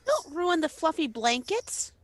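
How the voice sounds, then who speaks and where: Lively-pitched woman chatting. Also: measured pace. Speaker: panelist reacting to the reading. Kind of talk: casual chat.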